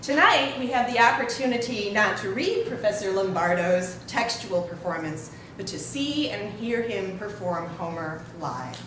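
A woman's voice: medium pitch, lively intonation, moderate pace, few pauses. Woman reading out a formal intro at an event, super echoey, far from the mic. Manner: formal, careful phrasing. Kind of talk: prepared formal introduction speech. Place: indoor hall with an audience. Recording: very echoey hall, distant, noisy.

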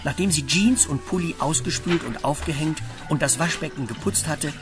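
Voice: medium pitch, normal speed. Narrator for a children's radio play, scripted storytelling delivery over music.

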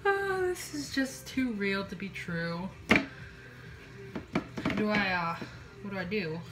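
A woman's voice: medium pitch, sing-song, takes it slowly, very noisy. A young woman chatting informally and self-deprecatingly to a camera in a home kitchen, as a casual vlogger. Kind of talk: casual chat to camera while cooking.

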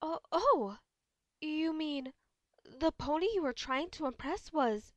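High-voiced, genteel, theatrical lady unicorn played by a woman. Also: expressive, takes it slowly, dry recording. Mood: shocked, dramatic. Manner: genteel, dramatic. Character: refined, theatrical, doting female unicorn pony. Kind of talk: amateur audio drama acting.

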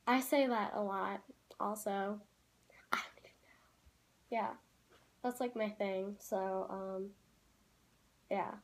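A teenage girl vlogging from a room at home, rambling in a casual, chatty way with lots of "like"s and quick apologies. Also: mid-range voice, steady tone. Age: teens. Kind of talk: casual chat to camera. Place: small room at home, indoors.

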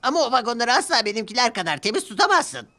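A man's voice: extremely high pitch, big pitch swings, racing, pushed and bright, voice pushed up, heavily acted. A man voicing a vain, boastful male steam engine in a cartoon dub, with a smug, taunting manner. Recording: music playing behind.

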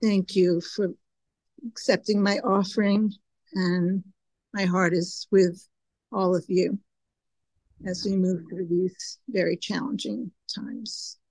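Hoarse older woman chanting softly. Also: medium pitch, fairly even intonation, slow pace, long pauses, no echo, poor audio. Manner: soft, heartfelt, chants a mantra repeatedly. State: hoarse, with a cough. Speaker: guest minister. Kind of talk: prayer and chanting at a vigil. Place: home room, indoors. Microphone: video call, phone held close.

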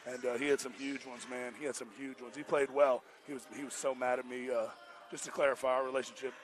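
A man's voice: mid-range voice, flat delivery, fast talker. A man with an American accent, a coach talking casually and emphatically in a post-game interview, repeating his points in colloquial speech. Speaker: basketball coach. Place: indoor basketball arena, courtside. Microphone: headset.

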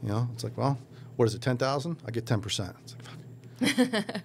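A man in his forties hosting a podcast, casual and blunt, swearing now and then, on a boom mic in a small studio. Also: very animated, normal speed, creaky, a little hiss or hum. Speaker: podcast host. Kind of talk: casual chat. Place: small studio with painted mural. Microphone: boom mic on arm.

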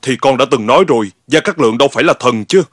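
An actor dubbing a confident, eager young warrior, a commander's son, in an animated historical drama with an echoey recording. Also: male voice, mid-range voice, racing, clean studio sound, close to an everyday voice. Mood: brash, eager. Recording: echoey reverb, music behind.

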